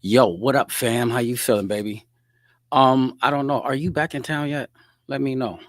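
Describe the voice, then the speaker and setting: A middle-aged man hosting his podcast alone, hoarse and relaxed, chatting to viewers and answering their comments. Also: mid-range voice, moderate pace, no room sound, studio quality. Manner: laid-back, talks straight to viewers, reads out comments. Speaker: podcast host. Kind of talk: casual solo livestream chat.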